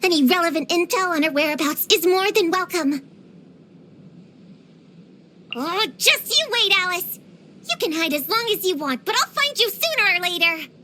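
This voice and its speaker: A woman's voice: extremely high pitch, fairly quick, a bit bright, slight background noise. A woman voicing an earnest, bookish young girl witch hunter in a video game, precocious, proud and slightly dramatic. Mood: indignant, determined. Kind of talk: scripted game voice lines.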